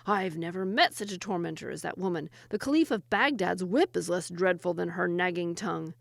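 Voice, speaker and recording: A woman voicing a mischievous, gruff male jinni hiding inside a princess. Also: medium pitch, expressive, moderate pace, dry recording. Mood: panicked. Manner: teasing, then panicky.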